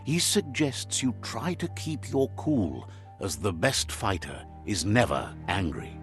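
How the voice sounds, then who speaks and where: A wise, calm storybook narrator in a video game, gently passing on what others say. His voice sits low but rises and falls with wide, expressive swings, and soft music plays faintly behind him. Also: slow. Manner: relays and translates what creatures say in a calm, kindly storyteller way.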